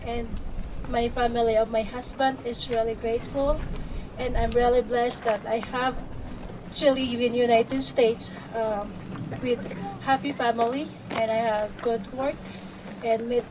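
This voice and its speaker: Woman, halting simple English, slightly high, distant mic with noise behind. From Philippines. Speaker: new citizen giving a speech. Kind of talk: short public speech.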